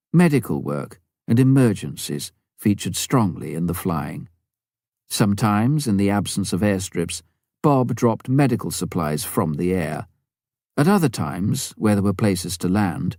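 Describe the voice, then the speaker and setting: A man narrates a memoir in a lowish voice. His scripted reading is measured and clearly phrased, yet the intonation rises and falls a great deal. The sound is dry, with little room in it. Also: darkish tone, no room sound. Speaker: audiobook reader.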